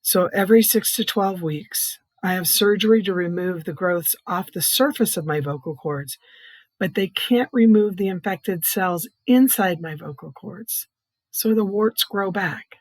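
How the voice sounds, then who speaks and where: Soft, hoarse older woman. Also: medium pitch, unhurried, dry recording. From Iowa, United States. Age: middle-aged or older. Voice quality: soft, hoarse, breathy voice from vocal cord growths. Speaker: patient advocate, child care nurse consultant. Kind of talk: personal testimony, explanatory talk.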